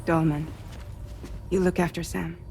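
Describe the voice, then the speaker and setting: An actress playing a composed woman who leads a ship's crew, speaking in a measured, instructing way in a game cutscene. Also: on the low side, little pitch movement, gravelly, dark tone, a little hiss or hum. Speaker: expedition leader.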